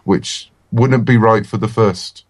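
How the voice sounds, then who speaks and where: A man's voice: fairly low pitch, takes it slowly. A man being interviewed in a casual chat, talking in an enthusiastic, rambling way. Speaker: interview guest, comic writer.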